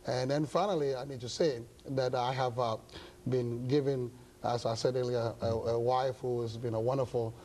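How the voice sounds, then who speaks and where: A pastor from Ghana, older, answering interview questions slowly with many pauses and fillers. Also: male voice, mid-range voice, steady tone, warm tone, rough recording. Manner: halting, many uh fillers, measured. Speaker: pastor and guest. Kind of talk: interview talk show.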